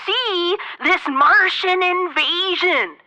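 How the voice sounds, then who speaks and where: Shrill, stubborn skeptical radio caller. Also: female voice, slow, pushed and bright, exaggerated voice. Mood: stubborn disbelief. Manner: blunt, dismissive. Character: stubborn skeptical woman phoning a radio call-in. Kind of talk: comedic radio drama acting.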